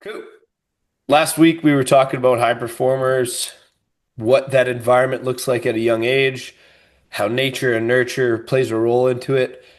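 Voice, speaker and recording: Man, podcast co-host, emphatic, expansive, mid-pitched voice. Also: steady tone, normal speed. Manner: emphatic and expansive, thinks aloud with "you know". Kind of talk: casual podcast chat.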